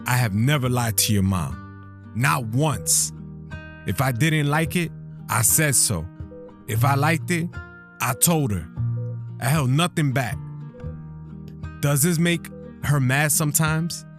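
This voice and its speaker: An actor playing a proud, tender middle-aged father in an audio drama, giving earnest, deliberate advice from a hospital bed. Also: mid-range voice. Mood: fatherly, sincere. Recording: guitar music under the voice.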